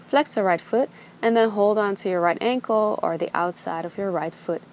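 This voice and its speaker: A young woman teaching a gentle yoga class, giving slow, soothing instructions. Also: mid-range voice, slight background noise. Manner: calm, slow, soothing cues. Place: outdoors on a beach.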